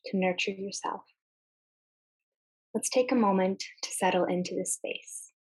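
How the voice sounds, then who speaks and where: A woman leading a guided meditation in a gentle, soothing manner, speaking in short phrases with long pauses. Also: mid-range voice, low-quality recording.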